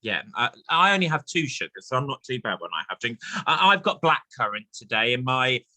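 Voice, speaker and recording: A man's voice: medium pitch, sing-song, a little fast, sharp tone, dry recording. A man hosting an online chat show in a chatty, playful, enthusiastic and jokey way.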